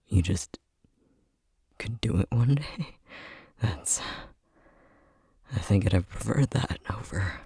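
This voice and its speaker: A man playing a flustered, tender young boyfriend in an ASMR roleplay, soft-spoken and breathy, hesitating and stammering as he talks. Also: deep voice, dry recording, clean studio sound, heavily acted. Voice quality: breathy, husky. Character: flustered, tender young boyfriend newly discovering magic powers.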